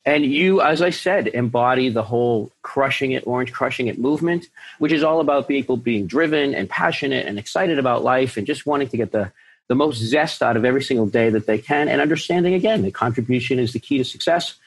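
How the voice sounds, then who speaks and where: A man's voice: mid-range voice, fairly quick, rough recording. A man hosting an interview podcast, enthusiastic, often repeating words as he starts a sentence. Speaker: podcast host.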